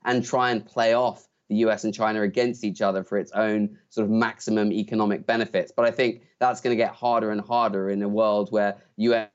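A man's voice: on the low side, level tone, brisk, few pauses. A man speaking as an expert guest, giving analysis in a broadcast interview. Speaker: think-tank expert guest. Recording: slightly dull, lo-fi sound.

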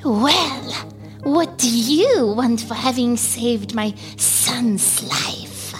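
A woman voicing a solemn, commanding mother snake in a folktale radio play, speaking slowly and deliberately. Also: on the high side, animated. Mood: grave, commanding. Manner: slow, deliberate, measured. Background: music.

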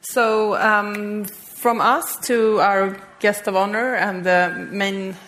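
A woman's voice: mid-range voice, fairly even intonation, slow, a bit bright. A woman hosting a live podcast on stage, introducing guests with frequent ums. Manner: fluent with frequent filler ums. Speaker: podcast host. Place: stage before a live audience.

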